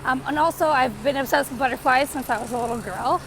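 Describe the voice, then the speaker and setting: A woman's voice: sits high, fast, gravelly, noisy background. A woman in her twenties from Canada chatting in a casual life-update vlog, rambling with lots of fillers, recorded on a phone. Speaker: vlogger. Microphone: phone camera.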